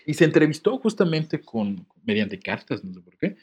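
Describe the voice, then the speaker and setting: A man's voice: on the high side, big pitch swings, normal speed, darkish tone, breathy edge. A man co-hosting a podcast, reading a letter aloud and breaking off into jokes and laughter. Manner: joking, laughs often, reads with comic asides. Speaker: podcast co-host.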